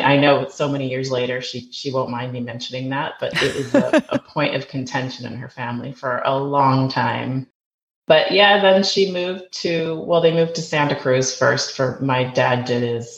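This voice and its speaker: A woman's voice: rumbling low, normal speed, creaky. Canadian woman guest, chatty, tells stories, slightly muffled and echoey remote line with faint hiss. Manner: chatty, storytelling, restarts mid-sentence. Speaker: podcast guest. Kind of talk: conversational interview. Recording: roomy, dull remote recording with faint noise.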